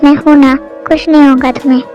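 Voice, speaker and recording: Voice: sits high, level tone, brisk, lo-fi sound, music in the background, voice pushed down, close to an everyday voice. A young performer voicing an earnest, lovesick young soldier in an amateur voice drama. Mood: reassuring. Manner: pleading, reassuring. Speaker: voice actor in a fan drama.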